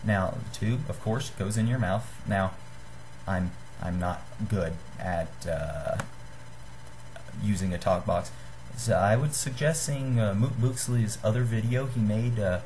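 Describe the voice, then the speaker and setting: Young man, low voice, casual tutorial, hesitant, self-deprecating, webcam mic with faint noise. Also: a little mellow. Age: young adult. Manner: halting, apologetic, self-deprecating. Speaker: hobbyist giving a homemade gadget tutorial. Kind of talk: casual how-to demonstration to camera. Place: small room indoors. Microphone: webcam or computer microphone at desk distance.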